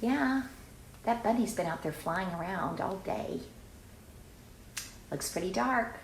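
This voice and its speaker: A middle-aged woman reading a picture book aloud to children, expressive and exclaiming, with chatty conversational asides. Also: mid-range voice, slow, background noise. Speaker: children's storyteller and drama teacher. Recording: roomy, echoey sound.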